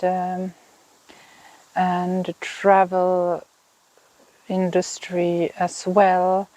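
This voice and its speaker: A woman in her fifties from Finland, a native Swedish speaker with a Finland-Swedish accent, hosting a knitting podcast in a casual, thoughtful way and pausing often to find her words. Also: fairly low pitch, a little mellow, poor audio. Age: 50s. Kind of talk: casual chat to camera. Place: outdoors in a home garden.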